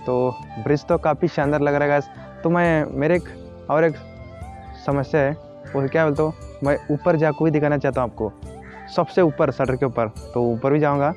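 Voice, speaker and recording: A man's voice: on the high side, a little mellow. Young man vlogging outdoors by phone. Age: young adult. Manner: hurried, repetitive, keeps urging viewers along. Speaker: travel vlogger. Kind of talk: casual selfie vlog tour. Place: outdoors at a dam and river. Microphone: phone camera at arm's length.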